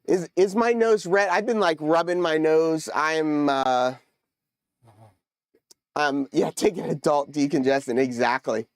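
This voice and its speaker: A man's voice: fairly high pitch, unhurried, smooth, no echo. A man with a congested nose hosting a casual livestream, chatting with viewers and trailing off into fillers. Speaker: livestream host. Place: home model railroad room.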